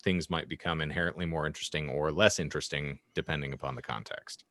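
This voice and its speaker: A man's voice: a bass voice, fairly even intonation, fast, a bit bright. A man hosting a podcast panel discussion, speaking in an articulate, wordy and wry way. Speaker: podcast host.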